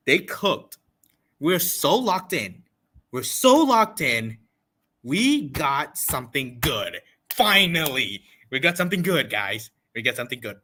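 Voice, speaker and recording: A man hosting a casual livestream reaction, talking in an excitable, repetitive and emphatic way. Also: on the high side. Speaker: livestream host reacting to a game event.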